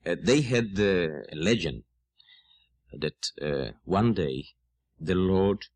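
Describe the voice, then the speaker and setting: A man from Israel with an Israeli accent, a native Hebrew speaker, explaining folk music as an interview guest in a halting, word-searching way. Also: low-pitched, lively intonation, a little mellow, no echo. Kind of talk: radio interview conversation.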